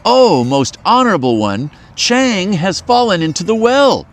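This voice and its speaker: A man's voice: sits high, sing-song, a little slow, voice pushed up. A man voicing an eager, polite little boy delivering urgent news in a storybook.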